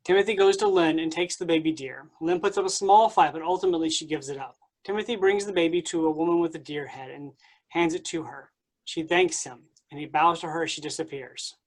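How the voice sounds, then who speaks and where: A woman's voice: low-pitched, a little fast, poor audio. A woman reading aloud and hosting at a staged play reading. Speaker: stage-directions reader and moderator.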